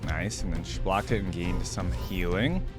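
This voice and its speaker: A man with a fairly low voice gives casual reaction commentary. He is informal and upbeat, talking through what he watches in an appreciative way. Music plays clearly behind him. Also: normal speed. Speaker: reaction video host.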